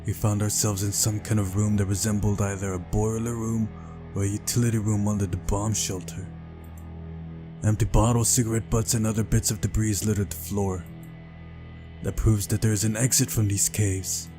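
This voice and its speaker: A man's voice: lowish voice, level tone, normal speed, over music. A man reading a story aloud as dramatic narration, as in a visual novel playthrough.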